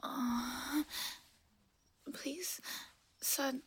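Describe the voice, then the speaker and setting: A woman acts out a playful, bratty young adult girlfriend in an intimate audio drama. Her voice is breathy and fairly high, with a slow, halting delivery that often sinks into whispers. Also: full character voice. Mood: meek, apologetic. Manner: expressive, halting, swinging from exclamations to murmurs. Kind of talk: intimate roleplay audio acting, addressed to an unseen partner.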